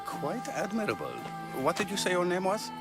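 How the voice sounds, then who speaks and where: A man playing a brisk, opinionated master physician in a video game, didactic and brusque in manner. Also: high-pitched, big pitch swings, loud music behind the voice. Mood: approving. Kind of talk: video game cutscene dialogue.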